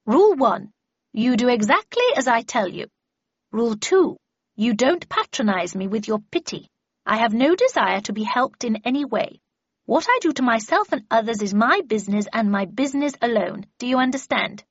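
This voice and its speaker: Woman acting imperious older woman, loud and high-pitched. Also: lively intonation, moderate pace, breathy edge, no room sound, highs cut off. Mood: stern, commanding. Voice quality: low growl at times. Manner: brusque, commanding, brooks no argument. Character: stern, imperious older woman, sharp-tongued and commanding. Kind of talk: audiobook character voice.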